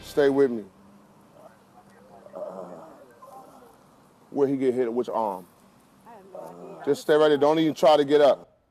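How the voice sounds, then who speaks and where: A man's voice: mid-range voice, measured pace, pauses often, mellow, faint music behind, poor audio. A man speaking unscripted as an eyewitness in a news clip. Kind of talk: unscripted speech.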